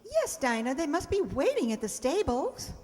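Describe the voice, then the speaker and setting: Lady playing fussy prim rich mother, very high and swoopy, radio play in echoey hall. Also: sing-song, moderate pace. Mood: gently scolding. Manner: prim, genteel, gently scolding. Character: fluttery, proper, fretting society mother. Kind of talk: stage-style radio play acting.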